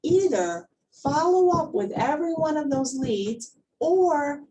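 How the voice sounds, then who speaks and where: A woman's voice: sits high, lively intonation, slow, mellow. Woman trainer, recorded from a distance. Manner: conversational, emphatic, repeats words for emphasis. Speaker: trainer leading a workplace class. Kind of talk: informal training presentation with questions to the group. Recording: distant mic, faint background noise.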